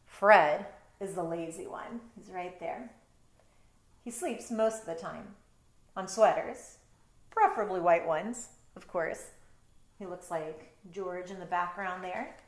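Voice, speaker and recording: A woman's voice: fairly low pitch, takes it slowly. Middle-aged woman reading aloud, roomy echo. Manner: conversational, explanatory asides. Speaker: storytime reader, teacher. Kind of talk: picture book read-aloud with chatty asides. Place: bedroom at home.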